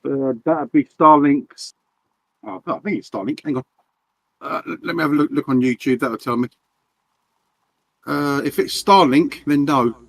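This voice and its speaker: A man's voice: on the high side, steady tone, moderate pace, gravelly, long pauses, a little hiss or hum. A man streaming a game, chatting casually with friends in a rambling, stammering way and swearing often. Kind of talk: casual voice chat while gaming.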